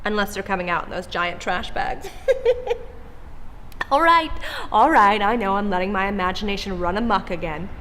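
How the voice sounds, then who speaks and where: A woman's voice: mid-range voice, moderate pace, pauses often, sharp tone, long reverb tail, close to an everyday voice. An actress playing a nosy suburban church lady who gossips chattily about the neighbors. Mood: gossipy. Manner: chatty, gossipy, giggly asides. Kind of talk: comedy character reel.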